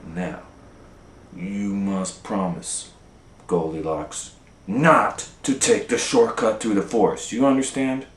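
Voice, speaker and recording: A man voicing a little girl's stern storybook mother, giving cautions in a hushed, slow, emphatic way. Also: fairly low pitch, fairly even intonation. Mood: stern warning. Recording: roomy, echoing room sound.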